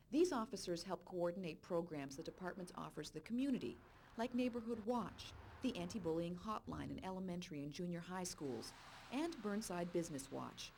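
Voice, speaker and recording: A woman reading a scripted voice-over narration for a police recruiting video in a clear, measured way. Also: lowish voice, a little mellow, a little hiss or hum. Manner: clear, measured informational delivery.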